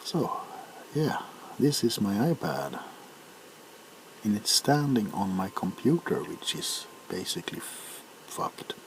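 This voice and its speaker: A man hosting a casual, rambling ASMR video, talking in a halting, unhurried way while he handles and taps items. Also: fairly low pitch, sing-song, low-quality recording. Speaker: video host showing items. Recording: clear background noise with clicks. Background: tapping, clicking and crinkling of packaging.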